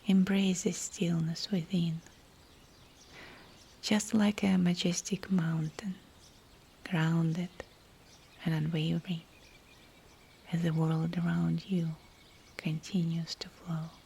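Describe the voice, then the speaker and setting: Slow whispering woman guiding meditation. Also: lowish voice, level tone, a little hiss or hum. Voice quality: breathy whisper. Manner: soothing, hushed, unhurried phrases with pauses. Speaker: meditation guide. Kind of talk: guided visualization meditation, scripted narration.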